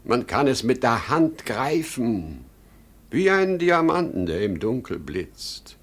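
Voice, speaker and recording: A man's voice: mid-range voice, sing-song, takes it slowly, husky, exaggerated voice. An actor playing a desperate, worn-out salesman in his sixties, urgent and pleading, in 1950s German radio drama style. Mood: fervent. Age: older. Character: desperate worn-out 63-year-old salesman plotting his death.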